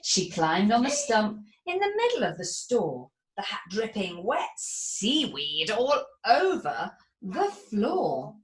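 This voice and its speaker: Lady reading a kids rhyme book, slow and sing-songy, swoops all over. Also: medium pitch, rough recording. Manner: animated, theatrical storytelling. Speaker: narrator. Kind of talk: reading a rhyming picture book aloud.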